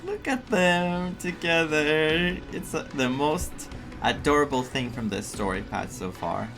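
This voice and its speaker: A man's voice: a little high, expressive, unhurried. A man streaming a game, commentating casually in a gushing, reactive way. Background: game music.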